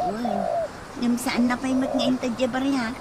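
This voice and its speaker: A woman's voice: high-pitched, level tone, unhurried. A woman playing a plain-spoken, chiding young girlfriend in a radio comedy drama, with an Ilocano accent. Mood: matter-of-fact. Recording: noisy audio with bird sounds.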